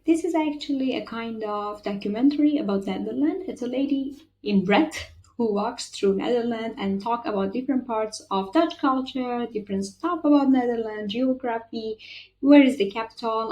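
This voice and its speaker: A woman's voice: a little high, darkish tone. A young woman with a Persian accent, a native Farsi speaker, hosting a chatty, practical tutorial voice-over. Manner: chatty and practical, with frequent fillers. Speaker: channel host.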